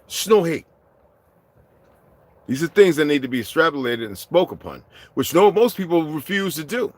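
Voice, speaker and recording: A middle-aged man delivering a heated, profane vlog rant, swinging from low muttering to shouting. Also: sing-song, moderate pace, no echo. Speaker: vlogger. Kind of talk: profane ranting monologue.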